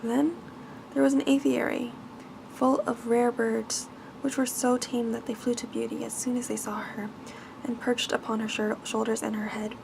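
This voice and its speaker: A woman's voice: fairly high pitch, level tone, normal speed, gravelly, background noise. A woman reading a bedtime fairy tale aloud as ASMR narration. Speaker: ASMR storyteller.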